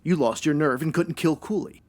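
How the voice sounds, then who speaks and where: A man's voice: fairly high pitch, normal speed, darkish tone, lightly acted. A man playing a wry, self-assured ex-cop private detective in a 1940s radio noir style, full of wisecracking slang. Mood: accusing, wry. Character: male ex-cop private detective, wry, hard-boiled, sure of himself.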